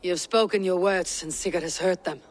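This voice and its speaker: An actor playing a stern, loyal Viking warrior with a firm, terse and formal way of speaking in a video game drama. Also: male voice, high voice, fairly quick, a little hiss or hum, lightly acted.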